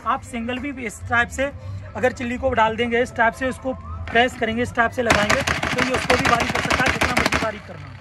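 A young man pitches goods at an outdoor fair stall in rehearsed, list-heavy patter. His voice sits very high for a man and moves quickly. Music plays clearly behind him. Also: little pitch movement. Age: young adult. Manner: rehearsed, repetitive listing pitch. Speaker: market stall vendor demonstrating products. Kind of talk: sales demonstration patter. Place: open-sided tented fair stall outdoors. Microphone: handheld camera a step or two away.

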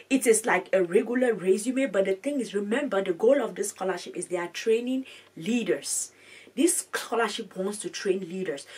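A woman in her thirties coaches viewers through a scholarship application, talking animatedly and often repeating herself mid-thought. Her voice is a little husky and sits slightly back from the camera mic in a room with bookshelves and plants. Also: mid-range voice, recorded from a distance. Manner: animated, gestures a lot, repeats words while explaining. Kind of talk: casual advice video.

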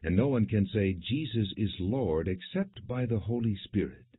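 A man reading scripture as an audiobook narrator, in a measured, solemn, husky voice. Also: on the low side, expressive, dry recording. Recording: dry, narrow phone-like sound.

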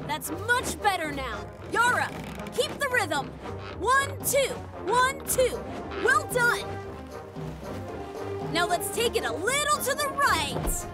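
A woman's voice: extremely high pitch, very animated, slow pace, over loud music, full character voice. A woman voicing an eager, bossy young boy leopard cub in a children's cartoon, commanding and argumentative. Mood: encouraging, commanding. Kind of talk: dubbed children's cartoon acting.

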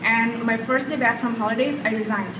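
A woman's voice: fairly high pitch, steady tone, brisk, noisy background. A woman earnestly telling an audience about leaving her job, speaking on a handheld microphone. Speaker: health coach student. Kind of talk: audience testimonial. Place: large hotel conference room. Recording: echoey room from far off.

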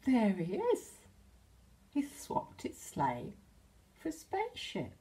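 A British woman in her sixties reads a children's picture book aloud, expressively, with gentle asides addressed to the children. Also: a little high, huge pitch swings, slow pace, a little hiss or hum. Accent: British English. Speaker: storyteller, infant school teacher. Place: indoors in a home hallway.

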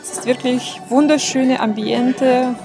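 A young woman with a Russian accent talks casually in an interview. Her voice is fairly high and her pace is slow. Music is clearly audible behind her. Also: steady tone. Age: 20s. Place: outdoors in the evening.

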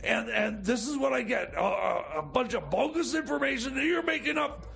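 A man's voice: extremely high pitch, expressive, fairly bright tone, heavily acted. A man playing a paranoid, indignant conspiracy-chasing radio sidekick, stammering and repeating himself in improvised comedy. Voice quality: gravelly. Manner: stammering, halting, repetitive, flustered. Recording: music playing under the voice.

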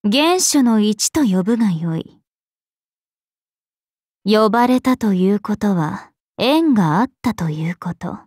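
Slow, imperious princess spirit. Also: female voice, mid-range voice, animated, darkish tone, husky, dry recording, studio quality. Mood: lofty, detached. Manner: imperious, archaic, composed, aloof. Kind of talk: Japanese mobile game character voice lines.

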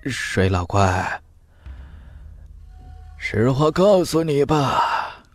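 Man acting scheming old martial master, croaky, swooping pitch, slow drawn-out words, fantasy audiobook. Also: big pitch swings, exaggerated voice. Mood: sly wheedling. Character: scheming old male cultivator, sly and wheedling.

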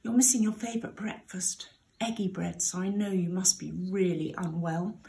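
A woman's voice: fairly low pitch, steady tone, normal speed, gravelly, dark tone. Caring British mummy pig, as a woman reads her. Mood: soothing concern. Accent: British English. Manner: motherly, emphatic. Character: caring mother pig, brisk and soothing.

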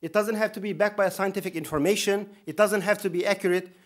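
A man in his thirties with a Turkish accent and a fairly high voice speaks from a podium in a lecture hall. He talks in an earnest, emphatic way, with touches of dry humor. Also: steady tone, fast talker. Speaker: imam giving opening remarks. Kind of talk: conference speech. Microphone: podium microphone.

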